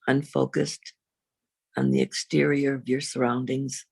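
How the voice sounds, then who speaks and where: A woman reverend leading a guided meditation in a slow, soothing, unhurried way, with long pauses. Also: level tone, no echo. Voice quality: low, husky, creaky.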